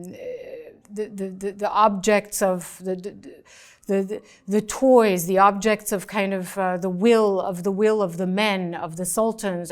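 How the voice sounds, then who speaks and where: A middle-aged woman journalist, a guest in a studio interview, talking thoughtfully and gesturing as she speaks into a lapel mic, with some restarts. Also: medium pitch. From United States, raised in France. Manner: articulate, thoughtful, with frequent restarts and fillers; gestures with her hands while talking. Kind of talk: interview conversation. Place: small studio set, indoors.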